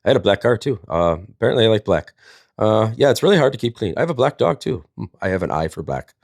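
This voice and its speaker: Dude on a livestream, lowish voice, dry sound, rambles through goofy folksy stories. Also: male voice, sing-song, fast talker, creaky, no echo. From Wisconsin, United States. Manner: rambling, folksy, jokey mock-innocent. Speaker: livestream host. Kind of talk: casual comedic storytelling.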